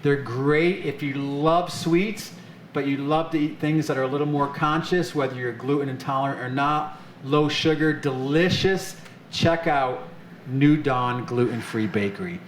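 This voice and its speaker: Upbeat male host working a live stage crowd, mid pitch, roomy sound. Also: measured pace. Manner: upbeat, chatty, many fillers. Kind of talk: live show hosting. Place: off-center stage of an arts center, live audience. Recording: echoey room with faint noise.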